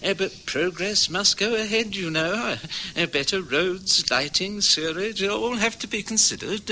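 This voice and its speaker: Placating, hesitant officer; high, bright, animated. Faint old radio hiss. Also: male voice, unhurried, full character voice, poor audio. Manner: hesitant, full of uh's, placating. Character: hesitant, smooth-talking survey official. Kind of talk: 1960s radio drama acting.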